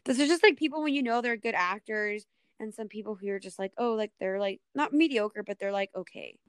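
A woman's voice: medium pitch, brisk, clear, resonant tone, no room sound, studio quality. A young woman co-hosting a podcast in casual chat, talking in a hesitant, rambling way peppered with "like". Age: young adult. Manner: hesitant, rambling, heavy use of "like". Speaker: podcast co-host. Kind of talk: casual panel chat about TV.

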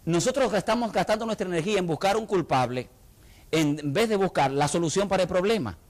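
A middle-aged man with a Dominican accent preaches a sermon in an animated way. His voice sits high for a man and swings widely in pitch. He slips into the voices of the people in his stories as he talks. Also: a little fast. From Dominican Republic. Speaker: pastor.

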